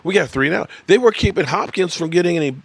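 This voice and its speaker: Animated man in his fifties, live-stream host. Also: high-pitched, fast talker, vocal fry, husky, dry recording. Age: 50s. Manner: rambling, swearing, laughing at viewers' comments. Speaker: sports channel host. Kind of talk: live-stream sports talk, reading chat. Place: at his desk, indoors.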